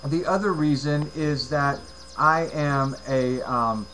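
A man in his late forties from the United States, talking through a video game in a rambling, halting way, with many fillers and repeated words, on a headset mic in a room at home. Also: on the high side, a bit bright, a little hiss or hum. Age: 40s. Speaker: let's play gamer. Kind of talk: casual gameplay commentary.

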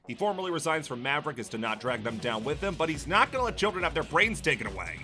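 A man's voice: fairly high pitch, sing-song, fast talker, music in the background. A man narrating a scripted comedic video game review, full of sarcastic jokey asides.

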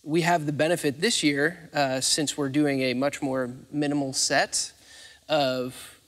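A man's voice: on the high side, level tone, a little slow, fairly bright tone. An American man in his thirties explaining arrangements in an interview, conversational and matter-of-fact. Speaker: theatre facilities manager, interviewee. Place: large theatre stage, indoors. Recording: reverberant empty theatre stage.

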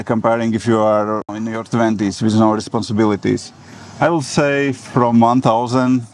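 A man in his forties with a Latvian accent, answering questions casually in a matter-of-fact way with halting non-native phrasing. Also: mid-range voice, darkish tone. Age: 40s. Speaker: vlogger and iOS developer answering viewer questions. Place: various travel locations, mostly outdoors, some stone halls and a cafe. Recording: clear ambient noise on location.

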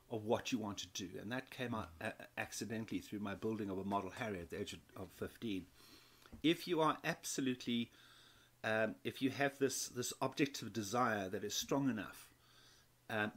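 A man's voice: on the low side, fairly bright tone. A man in his fifties from South Africa, telling his story as an interview guest, with many restarts and repeated words. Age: 50s. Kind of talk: conversational interview.

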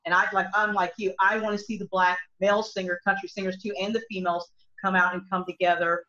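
A woman's voice: mid-range voice, flat delivery, fast, sharp tone. A middle-aged woman, a country singer, talking earnestly on a video call from home, with many restarts. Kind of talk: casual video call discussion. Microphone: laptop webcam mic on a video call. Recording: dull lo-fi video call audio.

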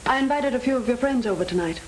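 An actress playing a sweet, playful young American younger sister in a 1930s film, on a hissy, crackly soundtrack. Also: female voice, fairly high pitch, animated, quick pace, natural voice. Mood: affectionate. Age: young adult.

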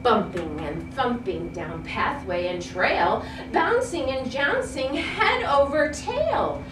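A dismayed storybook buffalo read by a woman complains and pleads in slow, swooping, emphatic phrases, heard in a roomy space. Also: high voice, very animated, far from the mic, music in the background, pitched below the performer's own voice, heavily acted. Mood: dismayed pleading.

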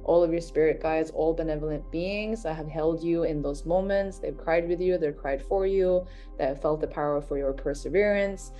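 A woman with a low, mellow voice leads a guided healing session, calm and unhurried. She repeats soothing phrases and murmurs soft little affirmations between them. Gentle music plays faintly behind her.

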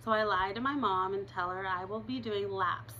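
A woman in her thirties reads a chapter book aloud with a clear, bright tone, lively and expressive as she goes. She stops now and then to chat with her listeners in an easy, conversational way. She is recorded on a camera's built-in mic in a living room at home, with a faint hum of room sound behind her. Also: mid-range voice, normal speed, lo-fi sound. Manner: expressive read-aloud with chatty asides. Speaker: reader.

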